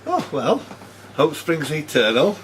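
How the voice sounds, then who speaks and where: Man bantering over clear noise. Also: fairly high pitch, huge pitch swings, takes it slowly. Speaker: quiz contestant. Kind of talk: casual chat. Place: sports and social club room. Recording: noisy live room recording.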